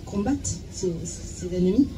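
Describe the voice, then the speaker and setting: A woman's voice: mid-range voice, expressive, normal speed, mellow. Hesitant female interpreter over noisy insect chirping. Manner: hesitant, searching for words, repeating herself. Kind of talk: informal consecutive interpreting. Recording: clear background noise with chirping insects.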